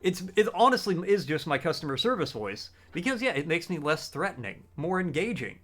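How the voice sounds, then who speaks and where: A man live-streaming a game, chatting casually with his viewers in a deliberately raised, customer-service-style register. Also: fairly high pitch, big pitch swings, a bit bright. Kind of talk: casual live-stream chat while gaming.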